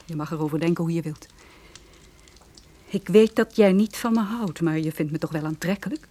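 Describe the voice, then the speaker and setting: Een vrouw die in een hoorspel een koele, amorele bendeleidster speelt, verleidelijk en hard. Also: female voice, lowish voice, normal speed, dark tone, lightly acted. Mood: persuasive. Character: cool, amoral woman, secret leader of a drug gang. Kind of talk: radio drama acting.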